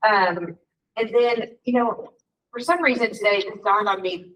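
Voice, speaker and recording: A woman chairing a board meeting in a relaxed, informal way. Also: medium pitch, a little slow, a little hiss or hum. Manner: warm, informal, lots of fillers. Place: meeting room. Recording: distant room mic at a meeting.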